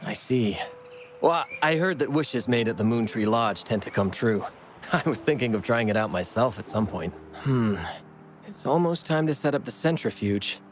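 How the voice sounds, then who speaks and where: A man's voice: mid-range voice, expressive, moderate pace, slight background noise, lightly acted. A man playing an easygoing, evasive young researcher in a video game, polite and musing.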